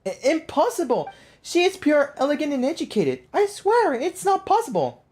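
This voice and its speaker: A woman's voice: high voice, huge pitch swings, normal speed, a little mellow, voice pushed up. Woman reading as a dramatic, lovelorn game husband. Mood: shocked, indignant. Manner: dramatic, defensive.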